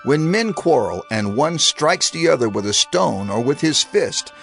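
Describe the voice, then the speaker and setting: A man acts out a solemn male lawgiver in a dramatized scripture production. His voice is gravelly and swings expressively through emphatic declarations. Faint music runs underneath. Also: a little high, very animated, a little slow, fairly bright tone, exaggerated voice. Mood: solemn, stern.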